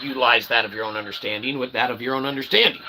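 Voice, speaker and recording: A man with a raspy, gravelly voice giving an improvised philosophical monologue in wordy, pseudo-formal phrasing, often checking in with short tag words. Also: medium pitch, fairly even intonation, very fast, noisy background. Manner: rambling, wordy pseudo-formal phrasing with frequent "okay" and "all right" check-ins. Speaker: independent online philosophy presenter.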